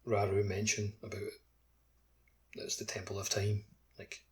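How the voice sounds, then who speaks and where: A man's voice: lowish voice, monotone, unhurried, husky. A man co-hosting a podcast, discussing a game casually with hesitant, hedged phrasing. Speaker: podcast co-host.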